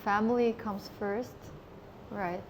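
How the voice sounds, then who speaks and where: A woman's voice: mid-range voice, steady tone, takes it slowly, noisy background. A woman hosting an interview, asking questions and offering encouraging murmurs. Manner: encouraging, frequent back-channel murmurs. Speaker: interviewer, host. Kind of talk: casual interview.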